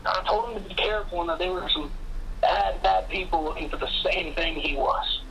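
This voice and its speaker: A guarded, anxious woman in a radio drama. She speaks quickly in a lower voice, with faint noise behind her. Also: gravelly, fairly bright tone, rough recording. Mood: worried. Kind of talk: scripted radio drama acting.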